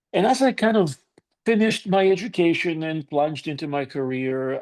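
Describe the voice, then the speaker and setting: A man's voice: fairly high pitch, gravelly, a little mellow. A man in his fifties from Greece with a Greek accent, giving a candid, conversational talk to an online conference audience and inviting debate. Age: 50s. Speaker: conference speaker.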